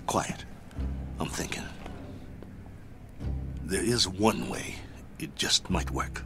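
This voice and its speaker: A stubborn, washed-up ex-fighter with a low voice who barks short, clipped orders. He sounds set on his course and won't be talked out of it. A dramatic music score runs behind this radio play. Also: takes it slowly. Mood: grim resolve. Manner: terse, commanding, clipped orders. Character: stubborn, determined middle-aged male ex-boxer.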